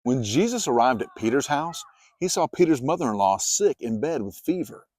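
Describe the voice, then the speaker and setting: A man narrates scripture in an expressive storytelling style. His pitch moves widely as he goes, and the sound is dry, with almost no room on it. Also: moderate pace, no echo. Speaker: narrator, Bible reader. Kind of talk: dramatized scripted Bible narration.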